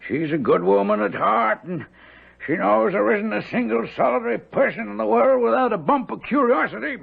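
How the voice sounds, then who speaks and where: An actor playing a kindly, easygoing stable hand in a radio drama, with a gravelly voice and folksy, familiar manner. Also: male voice, sits high, animated, normal speed, heavily acted. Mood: friendly, easygoing. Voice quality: gravelly, raspy. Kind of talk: old-time radio drama acting. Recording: thin phone-like old radio recording.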